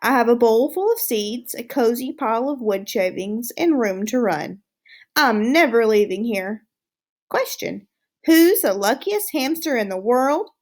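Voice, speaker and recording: Storybook hamster, played by a woman: cocky, easily disgusted, exclamatory, with swooping intonation and slow delivery. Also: fairly high pitch, expressive. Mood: smug contentment. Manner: boastful, self-satisfied, exclamatory. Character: smug, excitable, easily disgusted male pet hamster. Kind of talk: storybook read-aloud, diary narration.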